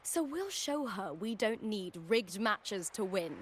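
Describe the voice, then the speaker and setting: A woman's voice: medium pitch, big pitch swings, slow, slight background noise. A woman voicing a fierce, confident young gladiator in a video game, bold and emphatic. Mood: confident, resolute. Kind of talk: heroic video game voice acting.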